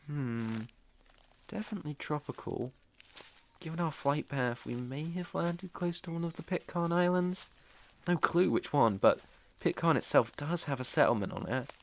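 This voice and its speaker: A British man playing a devoted, possessive stalker in an intimate ASMR roleplay, tender and wry with halting phrasing. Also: fairly high pitch, animated, slight background noise. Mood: calm, practical. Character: devoted, possessive male stalker, outdoorsy and softly protective.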